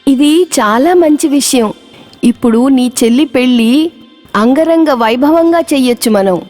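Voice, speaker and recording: A woman voicing a caring, anxious older village mother in an animated children's story. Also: sits high, measured pace, soft background music. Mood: pleased.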